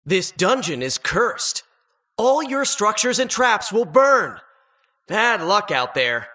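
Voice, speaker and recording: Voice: mid-range voice, big pitch swings, slow, sharp tone, full character voice. A performer voicing a sardonic, menacing dungeon host in a video game, with dramatic mock-cheerful patter. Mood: ominous. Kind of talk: video game character voice lines.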